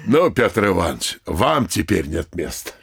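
A man playing a wily, servile middle-aged town mayor in a theatrical comedy audio drama, with a gravelly, unctuous delivery. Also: sits low, sing-song, normal speed, no echo, studio quality, exaggerated voice. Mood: fawning, eager. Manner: obsequious, unctuous and courtly. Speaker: actor.

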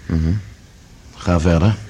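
A man's voice: sits low, steady tone, takes it slowly, dark tone. A male actor playing a concerned, decisive man asking serious questions in a science fiction radio play. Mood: probing, astonished. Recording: faint background noise and ambience.

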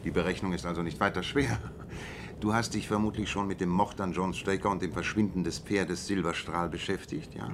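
Radio-drama detective played by a man: low-pitched, analytical, articulate and sure of himself, over audible noise. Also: fairly even intonation, fairly quick, natural voice. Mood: assured, explanatory. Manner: precise, articulate, lecturing. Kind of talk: German radio play acting.

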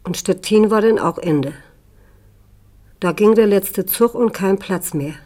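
A woman's voice: medium pitch, unhurried, a little mellow, lightly acted. An older woman in a radio drama playing a kindly old aunt who reminisces in a homely, rambling, self-questioning way. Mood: reminiscing.

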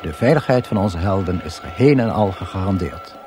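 An actor playing the dryly reassuring narrator of a space radio play, with wry formality. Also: male voice, sits low, animated, moderate pace. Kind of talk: scripted narration. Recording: music behind the narration.

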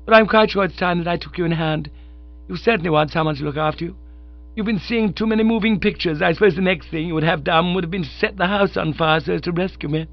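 A man reading aloud while voicing a bossy, hearty young woman who patronisingly takes charge of people. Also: quick pace. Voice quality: booming laugh, managing, patronising. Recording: dull lo-fi recording.

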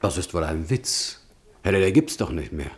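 Lively, wry young screenwriter. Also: male voice, sits low, moderate pace. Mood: astonished. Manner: ironic, polite, lively. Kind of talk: radio drama acting.

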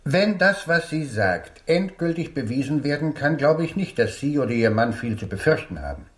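An actor in a German radio drama playing a frank English police inspector with an official, blunt manner. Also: male voice, a little high, sing-song, normal speed, fairly bright tone. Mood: reassuring, then baffled.

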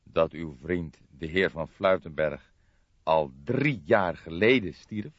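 A man voicing a stern, dogged police detective who questions formally and gruffly in an audiobook. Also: deep voice, takes it slowly, no room sound, heavily acted. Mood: probing. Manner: gruff, drawling, emphatic, formal. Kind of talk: audiobook character voice. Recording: dull lo-fi audiobook recording.

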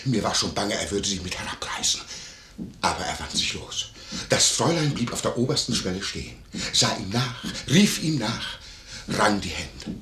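Fawning, swooping nosy innkeeper. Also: male voice, on the low side, sing-song, normal speed, pushed and bright, echoey room. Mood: excited, gossipy. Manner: obsequious, gossipy, theatrical. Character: nosy, fawning, greedy innkeeper, theatrically excitable. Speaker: actor in a radio play. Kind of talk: classic German stage comedy radio acting.

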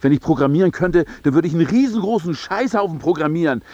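A man's voice: on the high side, animated, fast, dark tone, no room sound, dull audio, natural voice. An actor playing an irritable, boozy, suspicious man in a German radio play, speaking bluntly and impatiently in everyday colloquial language. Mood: angry ranting.

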